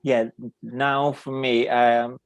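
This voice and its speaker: A man's voice: medium pitch, flat delivery, very slow, smooth, no room sound. A man with a Portuguese accent, a native Portuguese speaker, hosting a casual interview and often searching for words. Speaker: podcast host.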